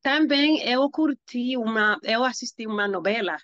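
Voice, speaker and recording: A woman's voice: a little high, normal speed, fairly bright tone, no room sound, muffled recording. Dominican woman speaking carefully as a learner. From Dominican Republic. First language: Spanish. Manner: hesitant learner, searching for words. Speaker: guest, language learner. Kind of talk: casual interview.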